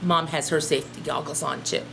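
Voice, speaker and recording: A woman teacher reading a storybook aloud and chatting directly to young listeners in an expressive way. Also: low voice, brisk, creaky, background noise. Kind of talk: children's storybook read-aloud and chat.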